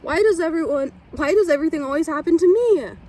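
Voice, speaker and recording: A woman voicing an excitable, dramatic little girl in a children's storybook, eager and emphatic. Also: very high-pitched, quick pace, pitched above the performer's own voice. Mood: self-pitying.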